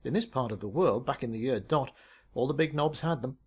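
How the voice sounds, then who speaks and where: A man's voice: mid-range voice, brisk, husky, close to an everyday voice. A man voicing a bookish, reluctant paintball player who muses hesitantly and a little pedantically. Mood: musing.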